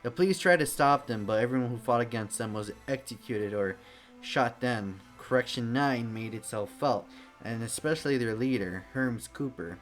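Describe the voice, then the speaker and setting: A man's voice: mid-range voice, moderate pace, soft background music, natural voice. A man voicing a grim male Italian resistance captain who gives orders and recounts his past. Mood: grave.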